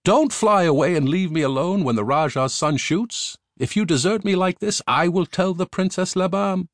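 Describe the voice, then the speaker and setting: A man's voice: high voice, normal speed, no room sound, clean studio sound, pitched above the performer's own voice. A man voicing a bossy, threatening parrot king in a storybook. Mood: indignant.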